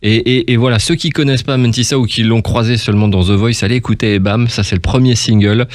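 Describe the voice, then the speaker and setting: A man's voice: medium pitch, fairly even intonation, fast, no room sound. A man hosting a casual radio interview in a chatty, effusive, rambling and informal way.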